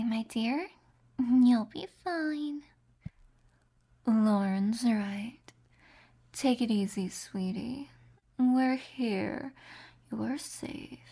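Woman doing a clingy, possessive captor girl in an audio roleplay, husky whisper with fry, slow and cooing. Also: fairly high pitch, full character voice. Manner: soft whispering, cooing pet names. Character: two doting, possessive young women captors.

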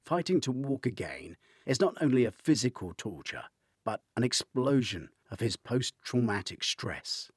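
Husky, expressive older male storyteller. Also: mid-range voice, normal speed, dry recording, studio quality. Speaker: author reading own novel. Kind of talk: audiobook-style thriller narration.

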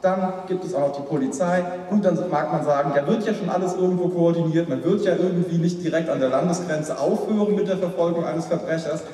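A young man from Rhineland-Palatinate giving a formal, measured debate speech at a lectern, repeating phrases as he builds his case. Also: fairly high pitch, warm tone. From Rhineland-Palatinate, Germany. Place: large hall, at a lectern. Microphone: far camera. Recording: echoey hall recorded from far off.

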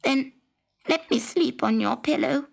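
Small storybook frog: croaky and rough, high-pitched, plaintive, wheedling, persistent. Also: female voice, measured pace, heavily acted. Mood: insistent. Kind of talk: storybook character acting.

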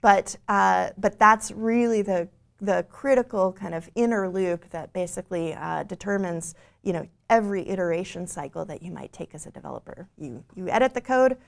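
A woman in her forties explaining software features on a studio stage, fluent but full of "you know" fillers and small restarts. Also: fairly low pitch, moderate pace, creaky. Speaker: product lead presenting the software. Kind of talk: scripted-feeling tech keynote conversation. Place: bright studio stage with screen behind.

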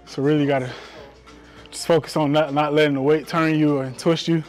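A man's voice: on the high side, level tone, brisk, darkish tone, faint music behind. Easygoing young man chatting in a gym. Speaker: football player vlogging his workout. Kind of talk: casual gym chat. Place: large indoor gym. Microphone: clip-on mic.

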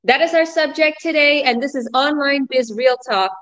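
A woman hosting an online business talk over a video call, pitching with enthusiastic, repetitive sales energy. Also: moderate pace, fairly bright tone. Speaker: host. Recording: muffled video call audio.

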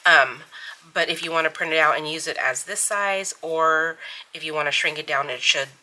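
Bright-voiced, sniffly woman chatting. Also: low voice, moderate pace, poor audio. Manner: rambling, many ums, giggly. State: sniffly from allergies. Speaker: planner and savings channel host. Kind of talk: casual chatty voice-over. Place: home craft desk. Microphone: overhead camera on desk, hands only.

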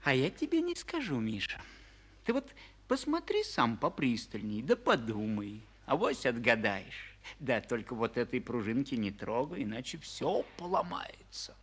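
A kindly father in a 1940s radio fairy tale. He is playful and coaxing, with a husky, extremely animated delivery. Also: medium pitch, a little slow. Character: kindly, teasing father of a small boy. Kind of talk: 1940s radio play acting.

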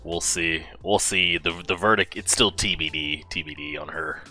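A man's voice: low voice, normal speed, bright, forceful tone, faint music behind. A young man giving casual reaction commentary, musing aloud informally into a headset mic in a room at home. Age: young adult. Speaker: reaction video host. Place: small indoor room at home. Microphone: headset boom mic.

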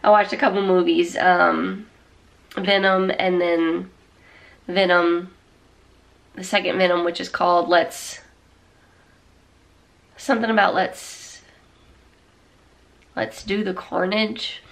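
A congested woman in her forties with a drawl rambles to her phone at arm's length in a small room at home. She talks slowly, full of fillers and restarts, in a lowish voice. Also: fairly even intonation, vocal fry. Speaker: vlogger. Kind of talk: casual chat. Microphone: camera or phone at arm's length.

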